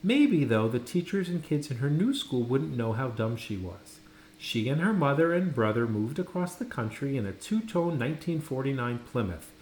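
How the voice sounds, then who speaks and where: A man reading a children's story aloud, dramatically, with pauses and stressed words. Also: mid-range voice, very animated, darkish tone. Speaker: storybook reader. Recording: roomy echo, faint background noise.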